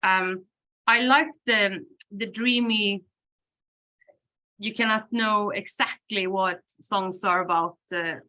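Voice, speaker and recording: A woman whose first language is Swedish, a band singer and lyricist, answering interview questions thoughtfully, with many pauses and fillers and frequent laughs. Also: medium pitch, fairly even intonation, sharp tone. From Sweden.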